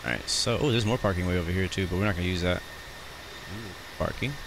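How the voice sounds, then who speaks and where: A man's voice: low-pitched, brisk. An American man casually commenting on a flight simulator live stream, chatting with viewers as he plans his descent. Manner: relaxed, chatty, greets chat viewers. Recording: noisy background.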